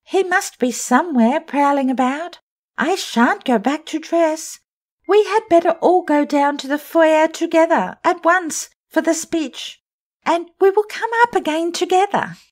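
Female reader voicing a young ballet girl, terrified, high and insistent, storybook reading. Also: a little slow. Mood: frightened.

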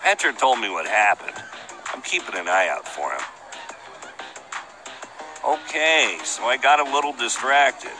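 An actor voicing a big, goofy, easily distracted best friend in a video game, laid-back and boastful. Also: male voice, mid-range voice, sing-song, a little slow, long pauses, music in the background. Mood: sheepish.

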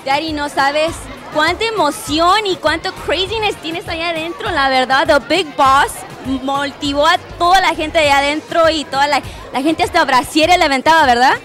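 Excitable high-voiced woman interviewer. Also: normal speed, hardly any pauses, faint music behind, a faint crowd. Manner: energetic, slangy and effusive. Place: event backdrop at a crowded venue. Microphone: handheld mic.

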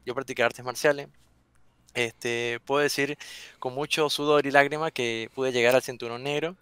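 A man's voice: mid-range voice, fairly even intonation, normal speed, a bit bright, clean tone, dry recording. A young man from Venezuela whose Spanish carries an Argentine lilt, chatting as a guest on a headset with frequent restarts. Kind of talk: casual interview chat.

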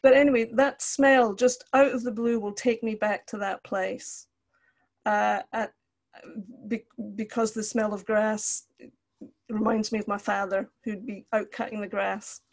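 Older woman, mid-pitched voice, conversational online lecturer, explains with personal examples. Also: little pitch movement, measured pace. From Scotland. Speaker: counselling lecturer. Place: indoor room, video call.

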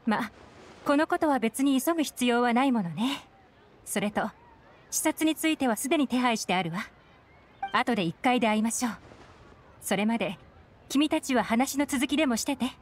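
A woman plays a shrewd young woman used to command in an anime game. Her mid-to-high voice is poised, cool and matter-of-fact, with faint music behind. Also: expressive, moderate pace, long pauses, lightly acted. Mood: composed, assured. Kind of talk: anime video game dialogue.

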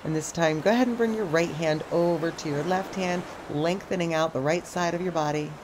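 Calm woman cueing stretches on a beach. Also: on the low side, fairly quick. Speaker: stretch instructor. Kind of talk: guided stretching class. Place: sandy beach outdoors by the ocean. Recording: outdoor surf noise behind the voice. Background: ocean waves.

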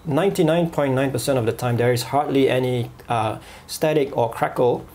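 A man's voice: medium pitch, darkish tone. Hesitant man reviewing a gadget. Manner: halting, many fillers and restarts. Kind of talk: product review voice-over.

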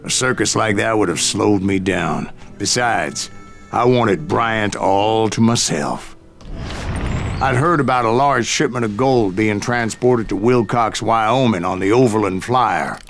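An actor voicing a grizzled older cowboy bounty hunter who gruffly narrates his past in a game. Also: fairly low pitch, unhurried, fairly bright tone. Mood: gruff, reminiscing. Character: grizzled old male cowboy bounty hunter telling tales. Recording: game audio over music.

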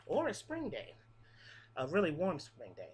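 A woman's voice: low-pitched, wildly swooping pitch, measured pace, a little mellow, a little hiss or hum. A middle-aged woman reading a picture book aloud to preschool children in a sing-song rhythm, stopping to explain things, recorded close on a webcam in a small room. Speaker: pre-K teacher. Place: small room with a classroom wall display. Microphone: webcam at close range.

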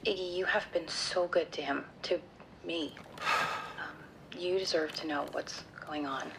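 Worried friend spilling a secret, low gravelly voice, hushed, faint rumble behind. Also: female voice, fairly even intonation, measured pace. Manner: hushed, hesitant. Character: worried woman confiding in secret.

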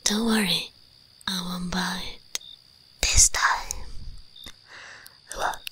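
A woman playing a teasing, commanding vampire mistress in a breathy, whispered ASMR roleplay. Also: lowish voice, steady tone. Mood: teasing, menacing. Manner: slow, imperious, teasing.

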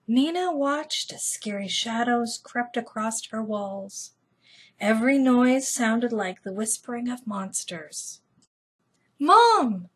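A middle-aged woman hosting a storytime, reading a children's book aloud in a gentle, deliberate manner. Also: a little high, slightly rough. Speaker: storytime host. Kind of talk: children's read-aloud narration.

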